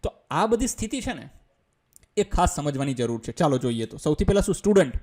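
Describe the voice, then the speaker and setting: A man's voice: on the high side, sing-song, fast talker, a little mellow. A man with a Gujarati accent teaching a school English lesson, explaining step by step in a colloquial way and often answering his own questions.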